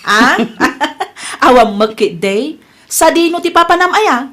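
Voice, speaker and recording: A woman's voice: high-pitched, lively intonation, takes it slowly, fairly bright tone, full character voice. A woman playing a nosy, fault-finding younger village gossip in a radio comedy drama, exclamatory and needling, with a Filipino accent. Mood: nosy, teasing.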